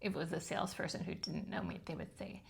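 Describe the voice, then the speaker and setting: A woman over fifty with a high-pitched voice, giving frank, chatty advice on self-publishing with plenty of ums. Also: very animated, fast talker, creaky. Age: 50s or older. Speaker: channel host teaching self-publishing. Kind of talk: casual instructional talk to camera.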